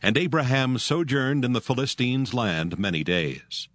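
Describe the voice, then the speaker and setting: A man narrating a dramatized scripture reading in an even, measured way. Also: mid-range voice. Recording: music bed behind.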